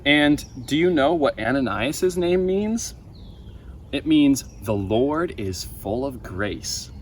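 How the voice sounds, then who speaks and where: A young man reading a children's Bible story aloud in a deliberate, expressive way, with emphatic pauses. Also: medium pitch. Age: young adult. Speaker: storybook reader for a camp story time. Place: outdoors on a wooden deck by a pond. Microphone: camera a few feet away. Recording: outdoor ambient noise.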